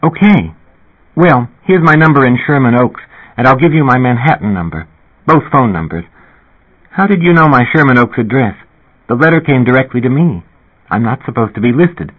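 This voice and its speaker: A man reading an audiobook and voicing a shy, breathless young woman singer who talks in a rushing, excited way. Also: mid-range voice, slightly rough, voice pushed up. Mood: eager, breathless. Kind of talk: audiobook character voice. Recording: tinny phone-like audio.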